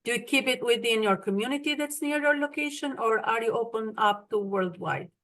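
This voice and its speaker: A woman's voice: on the high side, a little fast, a bit bright. Albanian-accented woman moderating a webinar. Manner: reads audience questions, apologetic about names. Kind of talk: online webinar Q&A. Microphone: video call.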